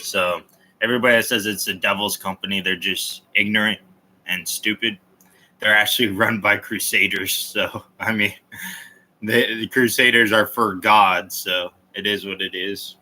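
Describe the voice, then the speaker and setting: A man's voice: on the low side, fairly even intonation, moderate pace, sharp tone, slight background noise, low-quality recording. A man chatting casually on a livestream, rambling between topics and answering viewer comments in a blunt, opinionated way. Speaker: livestream host.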